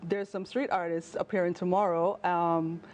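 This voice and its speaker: Chatty Jamaican woman, slightly low voice. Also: moderate pace. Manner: animated, chatty, frequent fillers and restarts. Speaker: travel journalist guest. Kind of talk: live TV studio interview. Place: TV news studio. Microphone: lapel mic.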